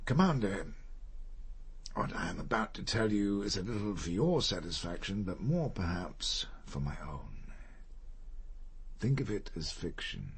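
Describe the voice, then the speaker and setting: An actor playing a proud, reserved older gentleman in a radio drama, speaking formally and deliberately, with guilt under the surface. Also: on the low side, big pitch swings, a little hiss or hum. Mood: measured, confessional.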